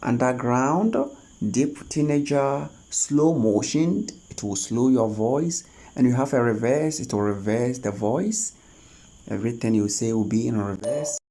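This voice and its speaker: A tutorial host talking through phone app steps one at a time, in a halting, instructional way. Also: medium pitch, dark tone. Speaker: host of a tech tutorial channel. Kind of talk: spoken step-by-step screen tutorial.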